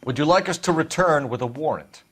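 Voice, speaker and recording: An actor playing an earnest, stern young police detective in a 1940s crime game, formal and pressing. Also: male voice, a little high, lively intonation, fairly quick, a bit bright, breathy edge. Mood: firm. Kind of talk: dramatic video game acting.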